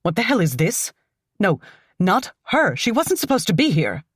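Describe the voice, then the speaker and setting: A woman voicing an alarmed middle-aged mother who speaks with sharp urgency. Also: lowish voice, animated, moderate pace, no room sound, studio quality.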